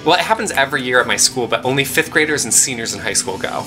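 A man's voice: mid-range voice, animated, fast talker, over music, rough recording. A young man from Pennsylvania telling a rambling story with lots of fillers while co-hosting a game playthrough. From Pennsylvania, USA. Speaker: let's play co-host. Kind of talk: casual chat over gameplay.

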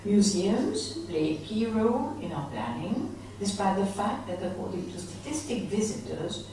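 A woman's voice: medium pitch, big pitch swings, warm tone, recorded from a distance, a little hiss or hum. Woman reading a paper in an echoey hall. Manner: measured reading with small slips and self-corrections. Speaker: conference speaker reading a presentation. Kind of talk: reading a prepared conference paper. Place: large conference hall, at a lectern. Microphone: lectern microphone.

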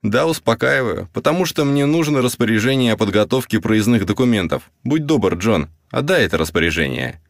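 A man voicing a calm, self-assured, composed military intelligence captain in an audiobook. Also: medium pitch, vocal fry, no room sound, clean studio sound, voice pushed up. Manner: composed, reasoning, measured. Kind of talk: audiobook character voice.

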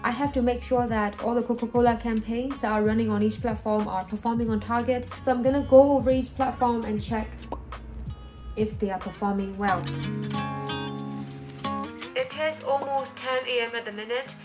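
High-voiced young woman vlogging. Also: steady tone, moderate pace, darkish tone. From Burma. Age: 20s. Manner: chatty, informal, addresses viewers as guys. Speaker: lifestyle vlogger. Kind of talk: casual chat to camera. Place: small flat room, indoors. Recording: music under the voice. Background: background music.

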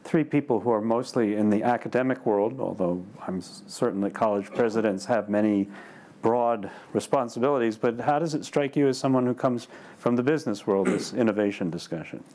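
A man moderating a panel discussion, measured as he hands the floor to a guest. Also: lowish voice, warm tone.

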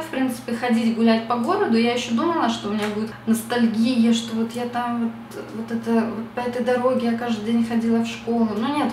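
A woman's voice: a little high, a little mellow, roomy sound, far from the mic, slight background noise. A young woman from Russia talking casually to camera at home, reflective and chatty, with many fillers. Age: young adult. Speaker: beauty vlogger. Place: small bright room at home.

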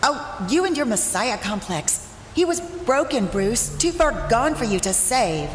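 Woman acting as Catwoman, high, sardonic, echoey. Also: very animated, unhurried. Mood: scornful. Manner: sardonic, theatrical. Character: cool, mocking female cat burglar, proud and scornful. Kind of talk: audio drama acting. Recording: echoey, reverberant hall sound. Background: music.

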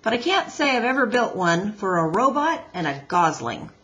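A woman voicing a gruff, stubborn father beaver in a children's storybook, blunt and folksy in manner. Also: medium pitch, big pitch swings, moderate pace, fairly bright tone. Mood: folksy, grudgingly friendly. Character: gruff, stubborn, grudgingly helpful old-fashioned father beaver.

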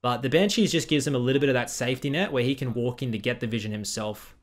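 A young man commentating a game replay in casual, blunt style, recorded at home on a headset. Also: mid-range voice. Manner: blunt, critical, quick asides. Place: small room at home.

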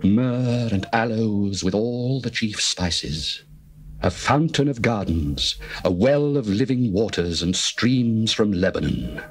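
A man playing a slow, devoted bridegroom. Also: lowish voice, animated, slight background noise. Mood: adoring. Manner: slow, measured, reverent delivery. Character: adoring male bridegroom, young adult, tender and devoted. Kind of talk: dramatized scripture reading.

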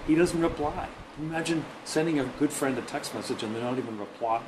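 A man's voice: a little high, fast talker. A middle-aged man preaching a sermon outdoors, speaking earnestly and often repeating words as he searches for them. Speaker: pastor. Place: outdoors, under a bridge then at an open lookout. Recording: noisy outdoor recording.

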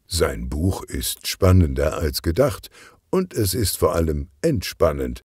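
A man's voice: very deep voice, sing-song, a little slow, darkish tone, breathy edge, no room sound, studio quality. A man narrating a children's detective story from a script. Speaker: audiobook narrator.

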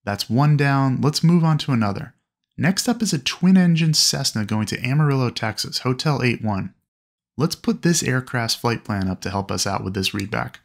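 A man narrating instruction in a clear, explanatory way. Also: mid-range voice, lively intonation, normal speed.

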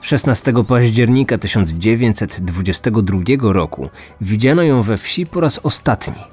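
A man's voice: lowish voice, lively intonation, normal speed, darkish tone, slightly rough, faint music behind. A man narrating a scripted true-crime story as a podcast host.

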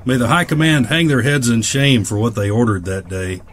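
An actor playing a weary, bitter old aviator turned farmer in an audio drama, folksy and brooding. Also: male voice, mid-range voice, normal speed, slight background noise, lightly acted. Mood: somber, hesitant. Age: older. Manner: folksy, plain-spoken, brooding.

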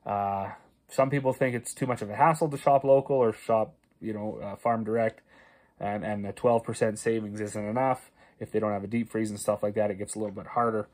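Casual farmer vlogger, thirties, from Canada; chatty and rambling, with fillers and restarts, filmed on a phone in a small paneled room. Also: male voice, lowish voice, fairly even intonation, a little fast, a little mellow. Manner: conversational, many fillers and restarts, stresses prices. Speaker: farmer and video host. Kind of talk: casual talking-head explainer. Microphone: phone camera at arm's length.

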